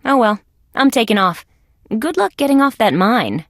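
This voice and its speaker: A woman's voice: on the high side, sing-song, normal speed, dry recording, studio quality. A woman voicing a tough, wisecracking female insect police detective in a video game, with a wry, sardonic delivery. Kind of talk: scripted game dialogue, detective banter.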